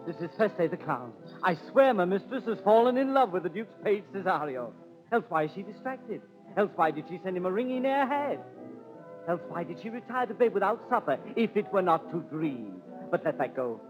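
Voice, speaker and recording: An actor playing a witty, mischievous court jester in a playful 1930s radio Shakespeare style. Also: male voice, high-pitched, big pitch swings, fairly quick. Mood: sly, gossipy. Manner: playful, rhetorical. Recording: dull 1930s radio recording with music.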